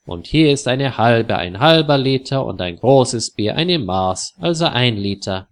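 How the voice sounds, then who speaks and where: A man reading the part of a friendly older retired gentleman in a learner's storybook, explaining things in a slow, easy way. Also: medium pitch, lively intonation.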